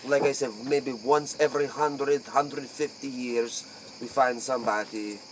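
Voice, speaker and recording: A man playing an aloof, ancient aristocratic vampire count in a spoof interview, with an Eastern European accent and halting, pompous broken English. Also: medium pitch, steady tone, slight background noise, lightly acted, rough recording. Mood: haughty. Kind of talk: improvised spoof interview in character.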